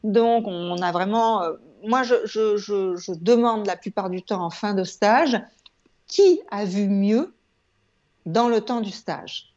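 A woman's voice: mid-range voice, slow, a little mellow. A woman in her sixties explaining things conversationally in an interview, emphatic and repeating words, over a video call on earphones. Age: 60s. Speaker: guest, eye yoga trainer and author. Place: home room with shelves, indoors. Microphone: wired earphones on a video call. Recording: dull, lo-fi video call audio.

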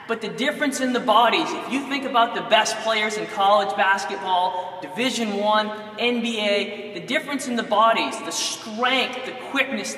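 A man's voice: high-pitched, sharp tone, echoey room, long reverb tail. Young coach guy selling his program, emphatic, lapel mic, sounds a bit far off in a big gym. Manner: urgent, emphatic, direct address. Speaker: basketball coach. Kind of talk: sales pitch to camera. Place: large indoor gym.

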